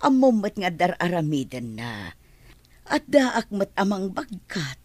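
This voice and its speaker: A woman's voice: lowish voice, sing-song, measured pace, darkish tone, no echo. A woman playing a meek, apologetic house servant in a radio drama, hesitant, with an Ilocano Filipino accent. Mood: meek, hurt.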